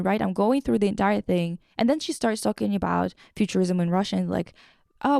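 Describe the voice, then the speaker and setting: A woman's voice: medium pitch, gravelly, mellow, no echo, clean studio sound. A woman hosting a podcast in casual chat, slangy, sweary and irreverent as she recaps and reads things aloud. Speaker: podcast host.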